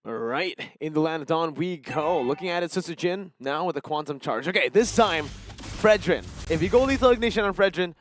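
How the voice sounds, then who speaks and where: A man's voice: fairly high pitch, lively intonation, fairly quick, slight background noise. A man giving live play-by-play and analysis of an esports match in punchy, clipped phrases. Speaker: esports shoutcaster.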